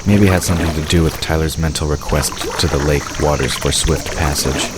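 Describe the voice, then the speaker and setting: A male narrator with an exceptionally deep voice reads a scripted fantasy story. Nature sound effects run clearly behind him. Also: fairly even intonation, a little fast, very noisy.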